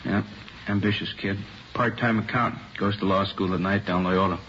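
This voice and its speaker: A man's voice: low-pitched, steady tone, moderate pace, fairly bright tone. An actor playing a good-natured, grumbling middle-aged policeman in a 1950s radio drama, terse and folksy. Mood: chatty, grumbling. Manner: terse, folksy, drops words. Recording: muffled, slightly noisy old radio recording.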